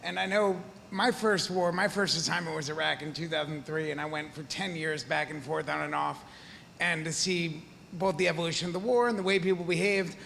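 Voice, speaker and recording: A man's voice: fairly high pitch, fairly even intonation, fairly quick, creaky, a bit bright. A man, a fellow journalist in the audience, giving an earnest and reflective remark at a panel. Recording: reverberant hall, faint noise.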